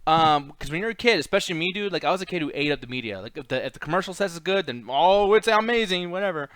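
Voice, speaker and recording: Male co-host, high voice, close dry sound. Blunt, sweary, exasperated movie ranter who mimics people as he goes. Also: expressive, quick pace, a bit bright, smooth, no echo. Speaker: podcast co-host. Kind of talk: casual profane banter and ranting about movies.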